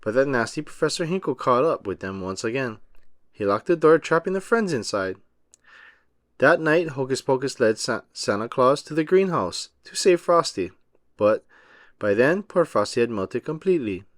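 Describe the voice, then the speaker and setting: Animated man reading a children's storybook. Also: medium pitch, moderate pace, no echo, studio quality. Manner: expressive, emphatic storytelling. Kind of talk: scripted children's storybook read-aloud.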